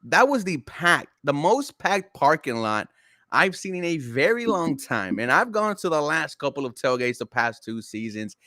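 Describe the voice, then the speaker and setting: A man's voice: a little high, very animated, bright, forceful tone, no room sound, studio quality. A young man hosting a casual sports podcast, talking in an emphatic, hyped-up way. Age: young adult. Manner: hyped, emphatic, plugs sponsors in a fast read. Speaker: podcast host.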